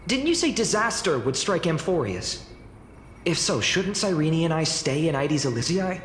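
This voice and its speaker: A man's voice: fairly high pitch, big pitch swings, normal speed, slight background noise. A male actor voicing an earnest, humble young village boy and would-be hero in a game, hesitant and thoughtful. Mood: pensive resolve. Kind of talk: dramatic game acting. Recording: echoey hall reverb, music behind.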